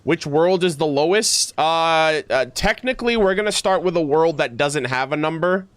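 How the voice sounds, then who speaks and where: A man's voice: on the high side, expressive, moderate pace, a bit bright, no room sound. A man streaming video games, chatting casually with viewers in informal, teasing gamer slang. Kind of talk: casual livestream chat with viewers.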